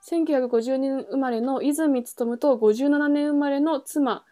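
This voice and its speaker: A woman's voice: high-pitched, unhurried, dark tone, soft background music. A woman hosting a casual book chat podcast, talking in a chatty way and thinking aloud as she goes. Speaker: podcast host; singer.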